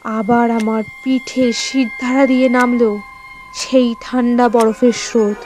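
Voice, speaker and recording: A woman narrating a first-person horror story in a suspenseful, theatrical way with dramatic pauses. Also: fairly high pitch, little pitch movement, a little mellow. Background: music.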